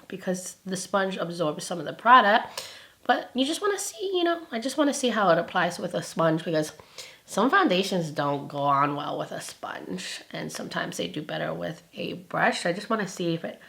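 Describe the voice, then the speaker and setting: A woman in her thirties, a little raspy while she gets over laryngitis, chatting casually through a makeup review at home. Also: on the low side. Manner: chatty and upbeat, often drops to a quieter tone while applying. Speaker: beauty vlogger. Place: home filming room with shelves and vanity lights.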